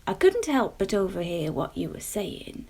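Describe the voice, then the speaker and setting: A woman voicing a kindly male earthworm, slow, folksy and gently reassuring. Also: mid-range voice, sing-song, creaky, husky, full character voice.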